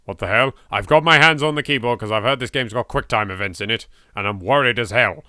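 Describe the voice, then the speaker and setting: English male gamer, jokey theatrical asides, bright forceful tone, close dry mic. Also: mid-range voice, quick pace, clear, resonant tone, dry recording. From England. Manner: sweary, theatrical. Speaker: let's play video maker. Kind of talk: gaming commentary with jokey asides.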